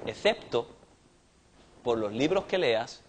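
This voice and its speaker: A man's voice: medium pitch, expressive, slow pace, a little hiss or hum. A man with a Cuban accent preaching a sermon in a conversational way that rises in force on key points. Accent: Cuban Spanish. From Cuba. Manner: conversational preaching, swelling louder on big points.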